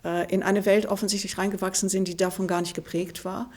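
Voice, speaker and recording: A woman's voice: medium pitch, level tone, fast, a little mellow. A woman in her sixties from Germany, giving a thoughtful, hesitant interview answer. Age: 60s. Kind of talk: reflective interview clip.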